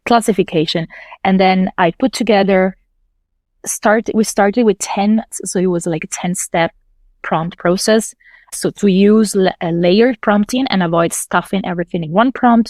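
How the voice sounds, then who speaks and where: Woman, Italian native, low-pitched, dry close sound, thoughtful interview guest. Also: darkish tone, no room sound. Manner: thoughtful, explains step by step with fillers. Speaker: podcast guest, localization manager. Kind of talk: casual interview talk.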